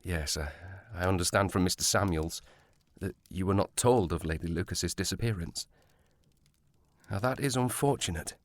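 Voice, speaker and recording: A man's voice: sits low, moderate pace. A man playing a courteous, cautious provincial police sergeant with formal speech in a period audio drama. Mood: tactful, cautious.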